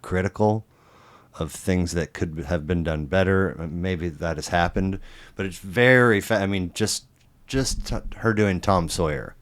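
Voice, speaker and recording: A man hosting a podcast, talking casually and haltingly with many restarts and fillers. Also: sits low. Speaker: podcast host.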